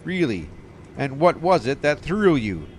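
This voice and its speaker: A man plays a police captain in an audio drama, brusque and skeptical, in a mid-pitched voice. Faint street and vehicle noise sits behind him. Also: sing-song, unhurried.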